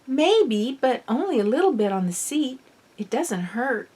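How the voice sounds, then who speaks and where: A woman's voice: sits high, very animated, a little slow, darkish tone, breathy edge, voice pushed up, heavily acted. A woman voicing a desperate, stubborn twelve-year-old girl who speaks insistently in an audiobook. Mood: subdued. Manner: insistent, emphatic. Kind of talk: acted dialogue in an audiobook.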